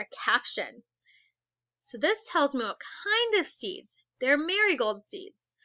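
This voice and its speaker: A woman teacher reading a children's book aloud. She reads slowly and stops to ask the class questions. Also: high voice, bright, forceful tone, no echo, lo-fi sound. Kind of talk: read-aloud lesson for children.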